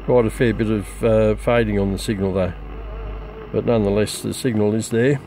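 An older Australian man casually demonstrating radios outdoors in a garden, relaxed and rambling with many fillers. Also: on the low side, normal speed. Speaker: radio hobbyist presenting receiver comparison. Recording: noisy outdoor recording.

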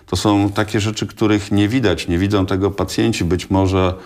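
A man in his sixties, a hospital director speaking as a guest in a studio interview, measured and hesitant with many filler sounds. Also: on the low side, fairly even intonation. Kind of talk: radio studio interview answers. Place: small TV studio.

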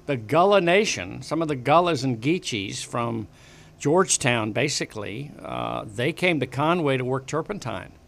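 An older man sits at a broadcast mic in a small studio, recounting family and local history. He talks casually and meanders, restarting phrases often. His voice sits at an ordinary middle pitch. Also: expressive, normal speed, gravelly, fairly bright tone. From western United States. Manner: rambling, many restarts and fillers. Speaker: guest. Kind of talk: casual interview storytelling. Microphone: desk broadcast mic on boom arm.

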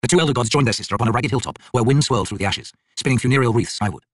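Husky man narrating dark fantasy. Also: mid-range voice, very fast, no echo, studio quality. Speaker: audiobook narrator, actor. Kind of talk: dramatic scripted fantasy narration.

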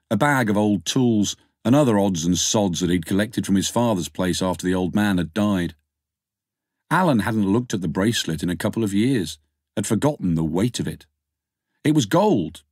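A man's voice: lowish voice, darkish tone, dry recording, clean studio sound. A man reading a short story as an audiobook narrator, in scripted narration.

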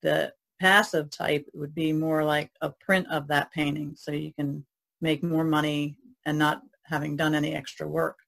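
A woman's voice: deep voice, little pitch movement, moderate pace, gravelly, fairly bright tone. A woman on a podcast panel, explaining things plainly in casual conversation.

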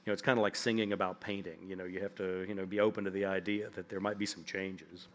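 A man in his fifties with a fairly low voice spins long, humorous anecdotes as a festival guest. He rambles easily, padding his stories with "like" and "you know", speaking from an indoor stage before a seated audience. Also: level tone, fast, fairly bright tone, a little hiss or hum. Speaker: author guest.

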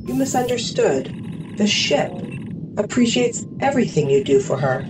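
A woman's voice: on the high side, very animated, a little slow, far from the mic, noisy background. A woman playing a serene, literal-minded artificial crewmate in a sci-fi audio drama, speaking formally and precisely. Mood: calm, reassuring.

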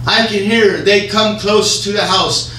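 Fervent male preacher, high bright voice, distant and echoey church sound. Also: steady tone, measured pace. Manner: fervent, often shouting, with call-and-response. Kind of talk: sermon. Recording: echoey church room, from far off, faint hum.

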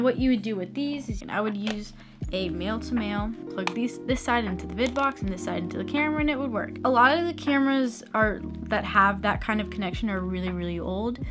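A young American woman explaining things in a casual, chatty vlog style, recorded indoors in a small room. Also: medium pitch. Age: young adult. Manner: chatty, informal. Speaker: video tutorial presenter. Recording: music playing behind the voice. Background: plucked guitar music.

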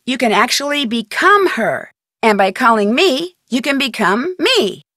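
Teenage girl detective, highish voice, chipper, friendly, instructional, game tutorial narration, dry close sound. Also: a bit bright, no echo. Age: young adult. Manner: friendly, clear instructional delivery.

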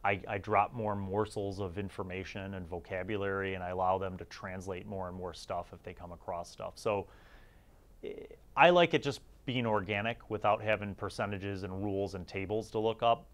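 A man's voice: low-pitched, normal speed. A man giving a casual, rambling review as a channel host, informal and enthusiastic, thinking aloud.

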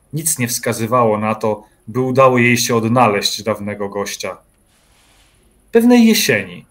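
A man narrating a dark literary radio play in a measured storytelling manner. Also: mid-range voice, lightly acted.

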